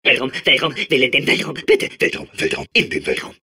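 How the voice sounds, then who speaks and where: A man playing a manic, childlike robot sphere obsessed with outer space in a video game, babbling and repeating himself in a strained, husky voice. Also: mid-range voice, a little fast, heavily acted. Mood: giddy, excited. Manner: excitable, babbling, repeating words compulsively. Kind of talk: video game character voice clips.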